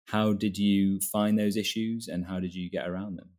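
A man interviewing a doctor, asking measured questions with hedging phrasing like "sort of". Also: deep voice, fairly even intonation, a little mellow.

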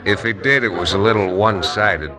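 An actor playing an easygoing frontier lawyer who narrates a 1950s radio western. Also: male voice, lowish voice, brisk, lightly acted. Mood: laid-back. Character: easygoing frontier lawyer, man, dry wit. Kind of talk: 1950s radio drama acting. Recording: old radio broadcast with crowd noise.

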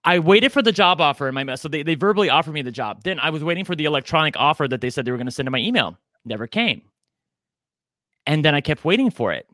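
A man's voice: on the high side, expressive, fast talker, bright, forceful tone, no echo, clean studio sound. A man in his forties co-hosting a podcast, talking in a chatty, campy, self-deprecating way. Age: 40s. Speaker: podcast co-host. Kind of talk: casual chat.

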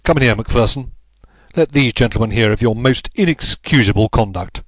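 A male narrator puts on a smug police inspector in a storybook reading. He is self-important and taunting, with lively swings of pitch, recorded close and dry. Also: a little fast, dry recording, close to an everyday voice. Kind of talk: audiobook character voice.

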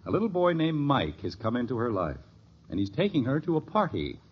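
A man's voice: mid-range voice, huge pitch swings, fairly quick, breathy edge. A middle-aged man playing a genial, wry family father in a 1950s radio sitcom, dry and teasing. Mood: wryly amused. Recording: muffled, hissy old radio recording.

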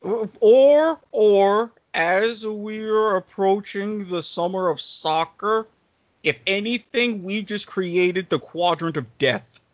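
A man's voice: sits high, slow, no echo. A man chatting casually as a co-host on a podcast panel. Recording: dry, dull lo-fi recording.

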